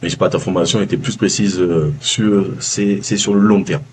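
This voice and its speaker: A man with a Southern French accent, a former baker giving a casual testimonial, halting with many fillers and restarts. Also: low-pitched, fairly even intonation, background noise. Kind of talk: casual video-call testimonial. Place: calling from abroad, early morning.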